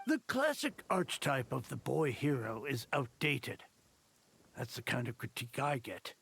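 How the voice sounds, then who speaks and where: A man voicing a flustered, opinionated, self-deprecating writer in a video game, wordy and fussy, with a husky voice. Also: on the high side, exaggerated voice. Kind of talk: English video game voice acting.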